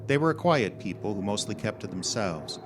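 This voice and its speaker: Low-voiced man narrating, noisy live recording. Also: lively intonation, brisk. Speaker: reader of a ghost story. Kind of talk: scripted storytelling, live reading. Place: performance club before a live audience.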